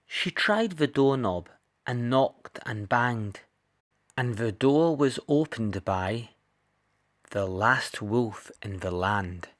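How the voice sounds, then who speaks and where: Slow, animated man reading a storybook. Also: medium pitch. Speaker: storybook reader. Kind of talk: expressive children's story narration.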